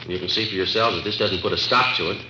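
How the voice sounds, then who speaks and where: A man acting a calm, no-nonsense police detective with an American accent, matter-of-fact and deliberate, in 1950s radio drama style. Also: deep voice, heavy background noise, natural voice. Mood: firm, matter-of-fact. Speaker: police sergeant and narrator. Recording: dull old radio recording, roomy, music behind.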